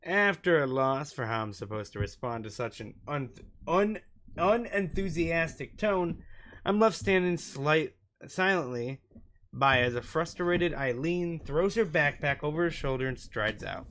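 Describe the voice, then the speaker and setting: A man's voice: a little high, expressive, measured pace, fairly bright tone, slight background noise. A man doing let's play narration, reading visual novel text aloud as a gaming host and sometimes stumbling over words.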